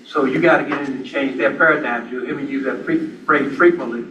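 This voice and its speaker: A man on a panel who speaks in a loud, emphatic, repetitive way. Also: mid-range voice, steady tone, fairly quick, recorded from a distance. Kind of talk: panel discussion. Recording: dull echoey hall mic with room noise.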